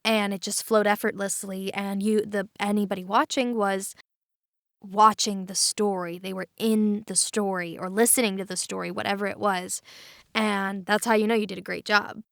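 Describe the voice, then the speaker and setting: A woman with a mid-pitched voice in a casual cast discussion. The recording is dry and close. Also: level tone, normal speed, vocal fry, no echo. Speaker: cast member. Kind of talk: casual panel chat.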